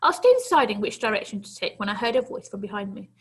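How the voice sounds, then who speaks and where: Animated, husky woman reading a story. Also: mid-range voice, fast. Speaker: teacher reading to pupils. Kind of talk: expressive children's story narration.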